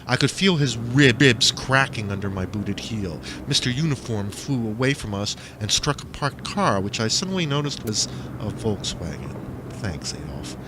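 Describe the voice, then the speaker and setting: A man's voice: lowish voice, lively intonation, moderate pace. A man reading a first-person story aloud as a dramatic audiobook narrator. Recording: faint noise behind the voice.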